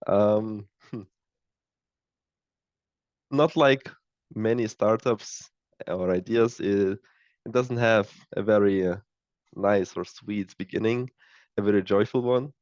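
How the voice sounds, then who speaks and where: Podcast guest, male, early 20s, Lithuanian accent, unhurried and halting, lowish pitch, dull lo-fi recording. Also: darkish tone, no echo. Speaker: startup co-founder, podcast guest. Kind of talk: casual interview answers.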